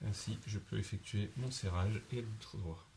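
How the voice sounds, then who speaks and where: A man's voice: lowish voice, moderate pace, heavy background noise. Methodical man explaining DIY steps. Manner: methodical, explains each step in first person. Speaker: DIY instructor. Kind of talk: step-by-step how-to narration.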